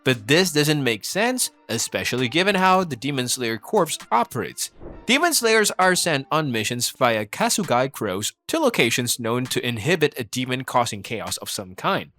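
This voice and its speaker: A man's voice: mid-range voice, big pitch swings, moderate pace, sharp tone. A man reading scripted video essay narration with rhetorical, mock-exasperated delivery. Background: background music.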